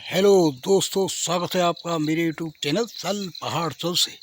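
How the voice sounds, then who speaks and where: Earnest hill vlogger, slow and high. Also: male voice, a little hiss or hum. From Garhwal, Uttarakhand. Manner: earnest, repetitive, addresses viewers as friends. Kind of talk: singing then casual vlog talk. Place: outdoors in rural hills.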